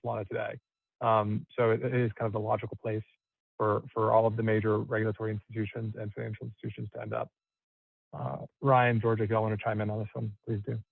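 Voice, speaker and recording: Man, company executive, creaky voice, unscripted earnings-call answers, dry muffled call audio. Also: fairly low pitch, monotone, quick pace, no room sound. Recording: band-limited conference call audio, dry.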